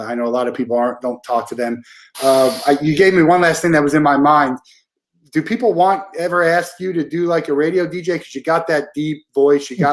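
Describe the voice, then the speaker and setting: A man hosting a podcast interview, talking in an informal, chatty way with many fillers. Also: medium pitch. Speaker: podcast host. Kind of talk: casual interview conversation.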